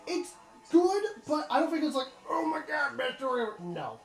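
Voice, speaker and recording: A man's voice: very high voice, very animated, moderate pace, soft background music. A man giving casual, explanatory gaming commentary as part of a let's play. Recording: roomy, echoing sound.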